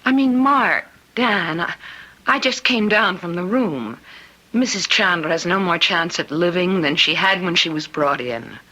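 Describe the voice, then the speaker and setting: A caring female doctor in an old-time radio play. Her voice is low and raspy with a bright, tense edge, and she speaks with earnest sympathy. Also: lively intonation, a little slow, heavily acted. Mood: troubled. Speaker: radio drama actor.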